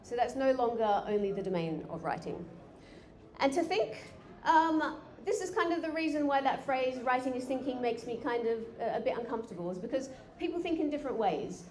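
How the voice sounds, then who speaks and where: A woman's voice: sits high, lively intonation, fairly quick, darkish tone. A woman giving a live conference talk, chatty with frequent fillers and restarts. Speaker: conference speaker. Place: conference room. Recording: echoey hall with faint noise.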